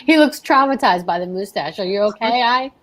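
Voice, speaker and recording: A woman hosting a live online show in an excited, chatty way, gushing and exclaiming as she goes. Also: medium pitch, fairly quick. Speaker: host of a live radio-play show.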